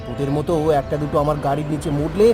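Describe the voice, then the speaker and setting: A man's voice: on the high side, steady tone, rapid-fire, natural voice. A man playing an arrogant, cruel young son of a village chief in a melodramatic cartoon, with a scornful, bullying manner. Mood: contemptuous anger. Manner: scornful, bullying, shouting down at others. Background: loud music.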